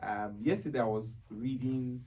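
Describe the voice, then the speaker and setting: A Nigerian man hosting a conversational talk show, halting at times and repeating phrases for emphasis. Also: mid-range voice, steady tone, warm tone, rough recording. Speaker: radio show host.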